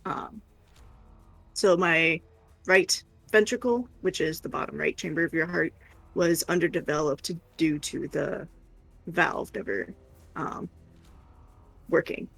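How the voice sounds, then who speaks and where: A woman's voice: low-pitched, takes it slowly, creaky, long pauses, fairly bright tone, breathy edge, soft background music, rough recording. A woman chatting casually and candidly as a livestream co-host, rambling informally.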